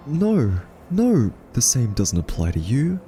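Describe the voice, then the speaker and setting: A man playing an earnest, quirky scientist in a roleplay audio drama, soft-spoken, hesitant and argumentative as a thoughtful captive. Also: mid-range voice, huge pitch swings, slow pace, soft background music.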